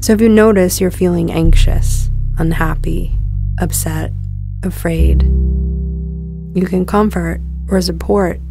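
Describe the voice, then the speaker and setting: A woman guiding a meditation in a calm, soothing, measured delivery, letting her phrases end softly. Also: on the low side, vocal fry, music in the background. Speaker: meditation guide. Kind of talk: guided meditation narration.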